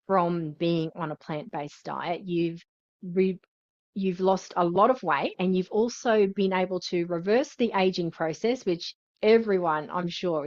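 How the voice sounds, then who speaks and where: A woman's voice: fairly low pitch, a little mellow, dry recording, highs cut off. A woman hosting an interview, introducing her guest in an enthusiastic way. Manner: enthusiastic, upbeat. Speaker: host.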